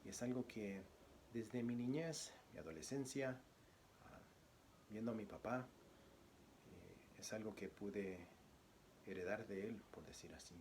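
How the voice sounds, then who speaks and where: A man from Guatemala, a church deacon, introducing himself as a guest preacher and reading scripture, speaking softly with many pauses. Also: lowish voice, slight background noise. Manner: soft-spoken, frequent pauses and fillers; louder, declamatory when reading scripture.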